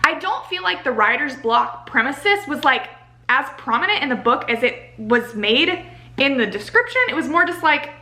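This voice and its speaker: A young American woman giving a casual, chatty book review in an animated, exasperated ranting manner, saying "like" a lot. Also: high voice, normal speed. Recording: roomy home echo.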